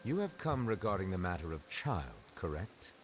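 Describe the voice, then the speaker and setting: An actor voicing a grave, dignified chief judge in a video game, formal, reserved and direct. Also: male voice, low voice, sing-song, normal speed, a little hiss or hum. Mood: cool formality.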